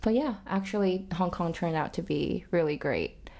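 Young woman, cantonese first language, casual chatty podcast talk, lots of likes and laughs, lowish voice. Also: lively intonation, moderate pace, gravelly, a little mellow. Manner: chatty, laughs often, many fillers and restarts. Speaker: podcast guest. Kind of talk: casual chat.

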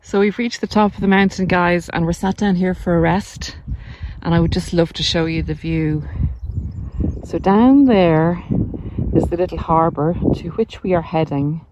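A woman's voice: on the low side, normal speed, a little mellow, a little hiss or hum, poor audio. A middle-aged woman giving an emphatic, encouraging motivational talk to viewers, informally, like a coach out on a walk. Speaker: coach and vlogger. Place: outdoors on a hillside walking trail.

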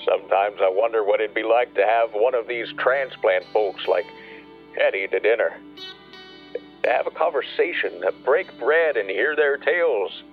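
An actor playing a proud, bitter rural farmer who grieves and broods in a halting, plain-spoken radio play monologue. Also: male voice, fairly low pitch, narrow, tinny audio, faint music behind, lightly acted. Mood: mournful, wistful.

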